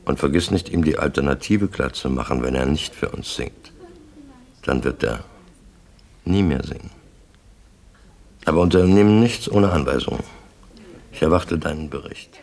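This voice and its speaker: Abgrundtief knarrender, bedrohlicher Gangsterboss. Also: male voice, a bass voice, a little mellow, heavily acted. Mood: quietly threatening. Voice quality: gravelly. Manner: terse, commanding, deliberate. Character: calm, menacing male crime syndicate boss. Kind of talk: German radio crime drama acting.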